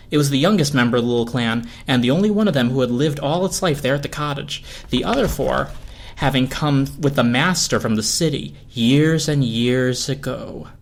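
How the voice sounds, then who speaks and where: A man's voice: medium pitch, creaky. A man reading a story aloud as narrator, in a dramatic storybook style. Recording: music behind the voice. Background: film score music.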